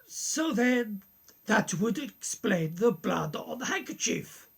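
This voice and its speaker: Husky, creaky professor played by a woman. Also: medium pitch, sing-song, takes it slowly, exaggerated voice. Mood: puzzled, probing. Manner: formal, questioning. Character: concerned, courteous professor, a sceptical family friend. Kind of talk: radio play acting.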